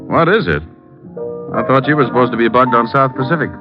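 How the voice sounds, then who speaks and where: Man as a cool, dry-witted radio detective; mid pitch, dull lo-fi old broadcast. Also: animated, fairly quick, over music, close to an everyday voice. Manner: dry, wisecracking, coolly interrogating. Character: wisecracking male private eye, adult, cool and cocky. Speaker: private detective Richard Diamond. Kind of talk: 1940s radio acting.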